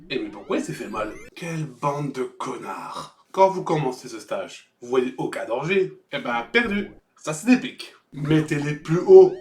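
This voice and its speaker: Sarcastic guy reviewing retro games, swears a lot, roomy echo, bit of music behind. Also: mid-range voice. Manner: sarcastic, foul-mouthed. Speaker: host of a retro video game review show. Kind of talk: humorous scripted review narration.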